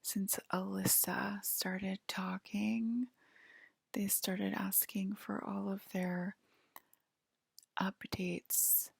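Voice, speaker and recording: A woman softly whispering an ASMR roleplay to the listener as a polite, attentive alien abductor, pausing often. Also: mid-range voice, flat delivery, creaky, husky, no echo. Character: polite, attentive female alien abductor queen acting as medical examiner. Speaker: ASMR performer.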